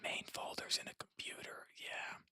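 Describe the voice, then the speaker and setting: A person whispering casual, rambling commentary while playing puzzles, musing aloud in a breathy, hushed voice close to the microphone. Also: normal speed, dry recording. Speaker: host of a puzzle-game stream.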